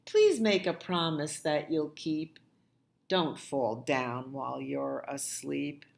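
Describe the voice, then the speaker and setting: A woman with a low voice leads a storytime for small children. She takes her time, speaking very slowly with a gentle, expressive lilt. Her delivery is sing-song and often softens toward a hush. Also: creaky. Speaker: children's librarian and storytime host. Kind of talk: children's storytime with read-aloud and nursery rhymes.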